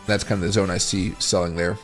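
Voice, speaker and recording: Male livestream host, raspy and tired, somewhat low-pitched, casual and meandering chart talk. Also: level tone, fast talker, faint music behind. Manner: rambling, thinks aloud while scrolling charts and reading chat.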